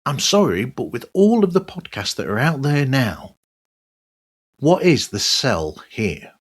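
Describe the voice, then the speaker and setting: A man hosting a podcast monologue, giving his opinions in a conversational, emphatic way with a husky voice. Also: medium pitch, very animated, a little slow. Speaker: podcast host. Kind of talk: podcast monologue, part scripted, reviewing other shows.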